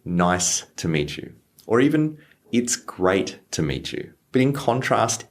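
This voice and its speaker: A young man teaching English phrases to learners, slowing down on example lines. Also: medium pitch. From Australia. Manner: lively, stresses example phrases slowly. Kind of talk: clear teaching explanation for learners.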